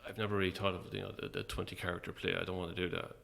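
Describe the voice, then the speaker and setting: A man's voice: deep voice, little pitch movement, rapid-fire, gravelly, fairly bright tone. A man with an Irish accent talking casually as an interview guest, hesitant and dryly self-deprecating.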